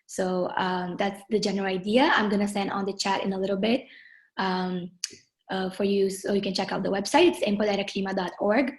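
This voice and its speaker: Young woman from Brazil, native Portuguese speaker, moderating a video webinar; middle pitch, friendly, filler-heavy delivery. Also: fairly even intonation. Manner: friendly, many ums and uhs, slows on key phrases. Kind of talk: online webinar introduction. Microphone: video call.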